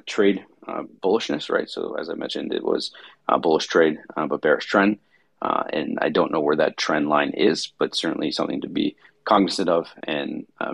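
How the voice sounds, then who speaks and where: A tired man with a raspy voice hosting a casual market-review chat, rambling with many fillers and restarts. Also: rumbling low, no echo. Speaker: host.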